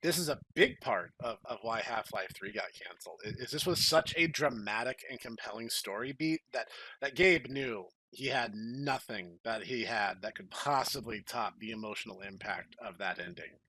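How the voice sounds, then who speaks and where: A man's voice: on the low side, normal speed, few pauses, bright, forceful tone, husky, rough recording. A man co-hosting a comedy podcast, moving between dramatic story reading and casual banter. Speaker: podcast co-host.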